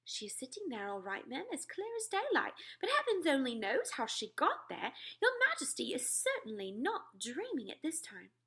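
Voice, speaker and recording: A woman's voice: high voice, huge pitch swings, normal speed, few pauses, pushed and bright, voice pushed up, exaggerated voice. A woman voicing an indignant, flustered middle-aged maid in a storybook, with emphatic, scolding exclamations. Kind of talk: storybook read-aloud.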